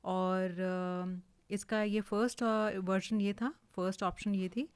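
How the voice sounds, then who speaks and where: An Indian woman giving an informal, explanatory software tutorial, mixing English words into her speech. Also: mid-range voice, fairly even intonation, slow. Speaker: voice-over artist giving a tutorial. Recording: clearly hissy home recording.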